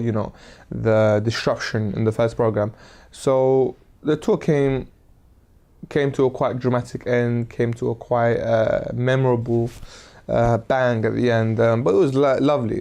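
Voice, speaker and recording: A man tells the story of a tour in a measured way. His voice is flat and even, with a dark, warm tone. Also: medium pitch, creaky. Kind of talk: interview recap.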